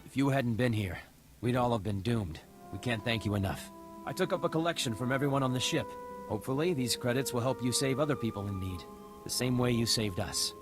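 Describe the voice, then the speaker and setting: A man's voice: mid-range voice, a little fast, natural voice. An actor playing an earnest male ship's officer in a scripted space opera video game. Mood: relieved, grateful. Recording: game dialogue with loud background music. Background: music.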